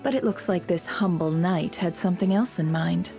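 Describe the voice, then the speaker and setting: A woman's voice: lowish voice, normal speed, vocal fry, over music. A woman voicing a shrewd, sardonic intelligence boss in a video game, composed and dry. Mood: dry, teasing.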